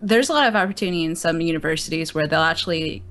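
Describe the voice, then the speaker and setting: A woman's voice: low-pitched, very fast, fairly bright tone. A woman in her twenties in a casual chat, brash and chatty, swearing casually. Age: 20s.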